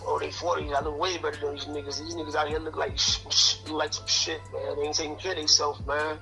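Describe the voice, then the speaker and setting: A man in his late fifties from Connecticut, talking in a loose, streetwise way about life inside. His voice sits a little high and sounds bright and forceful. Faint music plays underneath. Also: flat delivery, quick pace, vocal fry, poor audio. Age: 50s. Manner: streetwise, fillers "man" and "son" constantly. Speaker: interview guest. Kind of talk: casual prison storytelling.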